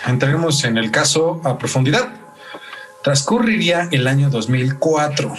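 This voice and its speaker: A man's voice: mid-range voice, recorded from a distance. A man with a Mexican accent hosting a podcast and narrating true-crime stories from a script. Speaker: podcast host.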